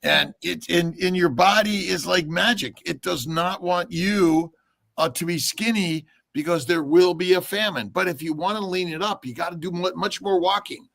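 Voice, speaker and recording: A man with a high, gravelly voice speaks brightly on a livestream. He is a doctor and co-host. He gives blunt advice in short, punchy bursts. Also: normal speed. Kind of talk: livestream chat.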